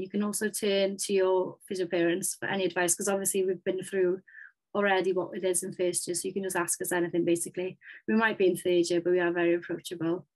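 A woman's voice: mid-range voice, low-quality recording. Welsh student woman in her twenties, upbeat and chatty, talking over slides on a webcam. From Wales. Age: 20s. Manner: upbeat, chatty, with frequent ums. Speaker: physiotherapy student. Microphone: webcam on a video call.

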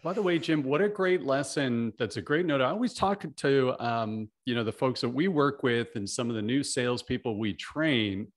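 A man's voice: medium pitch, lively intonation, moderate pace, few pauses. A middle-aged man from Canada hosting an interview over a video call, friendly and full of "you know" fillers. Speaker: podcast host. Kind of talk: casual interview chat. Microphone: video call, desk mic.

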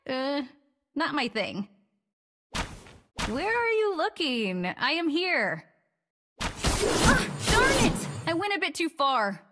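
A woman's voice: sits high, wildly swooping pitch, takes it slowly, long pauses, heavy background noise, full character voice. A woman voicing a cocky, agile young female assassin in a video game, teasing and playful in her lines.

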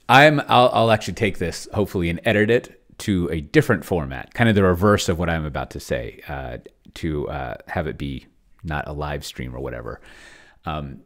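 A man in his forties talking casually on a livestream in a halting, digressive way, close to a desk microphone. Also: sits low. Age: 40s. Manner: halting, digressive, self-interrupting. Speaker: streamer. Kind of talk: casual rambling livestream talk. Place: small home office with bookshelves. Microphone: large desk microphone up close.

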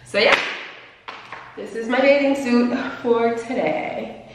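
A woman's voice: fairly high pitch, echoey room, long reverb tail, background noise. A woman in her twenties talking casually and playfully to a camera held at arm's length in a hotel room. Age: 20s. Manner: playful, chatty, drawn-out emphasis. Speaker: vlogger.

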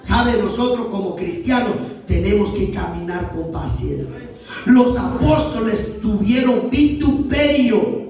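High-voiced preacher in an echoing hall. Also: male voice, animated, darkish tone. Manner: call-and-response, sing-song drawn-out phrases. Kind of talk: sermon. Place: church hall. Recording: echoey church hall, distant mic.

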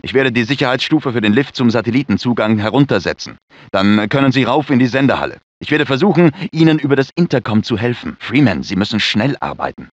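A man's voice: medium pitch, expressive, fast talker, no room sound, natural voice. A male actor playing an anxious, fussy research scientist in a dubbed video game, speaking formally and precisely with nervous urgency. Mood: tense, explanatory. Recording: dull, lo-fi game audio clips.

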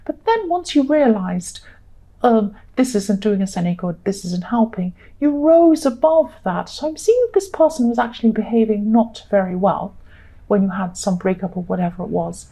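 A woman tarot reader with a fairly high, soft-edged and mellow voice. She talks to the listener conversationally and reassuringly, circling back over her points as she explains the cards. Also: vocal fry, low-quality recording. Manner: repetitive, reassuring.

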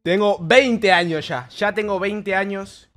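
Chatty young Argentine streamer. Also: male voice, high voice, unhurried. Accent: Argentine Rioplatense Spanish. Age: 20s. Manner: chatty, jokey, reacting to his old clips. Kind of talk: casual livestream chat. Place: small bedroom streaming setup with blue lights. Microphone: boom mic close to his face. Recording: clean streaming mic with faint background music. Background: soft background music.

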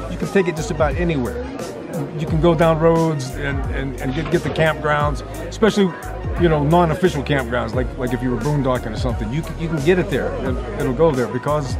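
An older man at an outdoor campground, stumbling and repeating words as he chats. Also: fairly high pitch. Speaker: campground guest. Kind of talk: casual testimonial.

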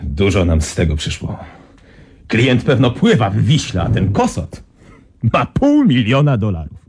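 An actor playing a furious, sarcastic male boss with a very raspy voice in a radio drama. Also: on the low side, huge pitch swings, measured pace, a little mellow, exaggerated voice. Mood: angry. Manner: scolding, sarcastic.

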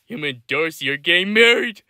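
A man's voice: a little high, lively intonation, fairly quick, pushed and bright, no echo, clean studio sound. A man voicing a laid-back, beer-loving middle-aged cartoon dad in a casual, offhand way. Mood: reassuring. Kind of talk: fan-made cartoon voice acting.

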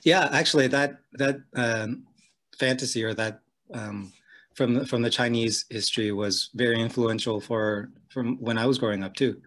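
A man's voice: medium pitch, fairly even intonation, moderate pace, fairly bright tone. A man hosting a podcast, asking curious, rambling questions over a headset on a video call from home. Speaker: podcast host. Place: room at home, indoors.

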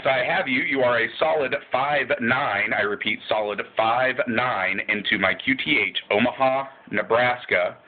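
A relaxed man with a lowish voice works a ham radio contact, spelling call signs letter by letter in an easygoing way. The recording is thin and tinny.

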